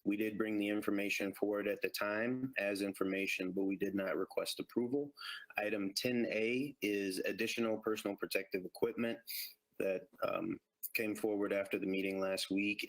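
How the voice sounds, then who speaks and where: A man's voice: sits low, a bit bright, poor audio. A man working as a district administrator, formally presenting agenda items at a meeting.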